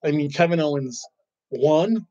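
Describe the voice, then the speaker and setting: A man hosting a casual wrestling review, rambling and excitable, often restarting his sentences. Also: on the high side, unhurried. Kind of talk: casual chat. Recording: dull lo-fi recording.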